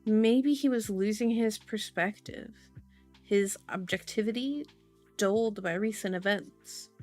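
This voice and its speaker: A woman's voice: medium pitch, unhurried, a little mellow, soft background music. A woman hosting an ASMR book reading, playful and chatty, mixing narration with casual asides. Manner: playful, chatty, strays from the text into comments. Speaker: host of an ASMR channel.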